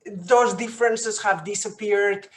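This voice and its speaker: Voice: medium pitch, fairly even intonation, measured pace, fairly bright tone. A person asking a long question at an academic talk, tentative and searching for words. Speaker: audience questioner.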